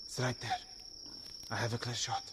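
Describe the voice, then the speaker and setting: An actor playing a gruff, wry travelling companion out hunting in an audio game, low-key and dry. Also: male voice, flat delivery, normal speed, close to an everyday voice. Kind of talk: naturalistic audio game acting. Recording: noisy outdoor ambience with crickets.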